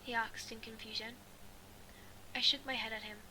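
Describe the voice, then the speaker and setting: A woman with a fairly high voice reads a first-person story aloud over clear background noise. Her delivery stays within a very narrow, flat range of pitch. She goes haltingly, tripping on phrases and going back over them like an amateur reader. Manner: halting, stumbling and rereading phrases. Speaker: amateur fan fiction reader.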